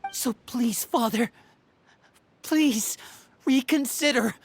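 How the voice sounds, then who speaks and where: A woman playing an earnest youth pleading with a parent in a video game, sincere, imploring and deliberate. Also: a little high, slight background noise. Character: earnest young man pleading with his adoptive parent. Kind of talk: dramatic video game voice acting.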